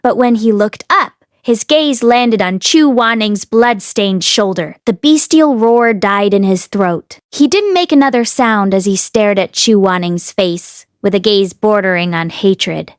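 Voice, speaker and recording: A woman reading a fantasy novel aloud as an expressive audiobook narrator. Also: medium pitch, measured pace, hardly any pauses, clear, resonant tone, dry recording, studio quality. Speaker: audiobook reader.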